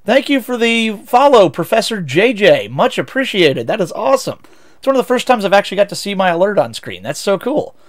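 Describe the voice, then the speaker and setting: Young midwest guy on a game stream, kinda high voice, rambly, keeps fixing his words. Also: big pitch swings, fairly quick. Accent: Midwestern American. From United States. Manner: chatty, rambling, self-correcting. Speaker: VTuber streamer. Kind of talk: casual game-stream chat with viewers.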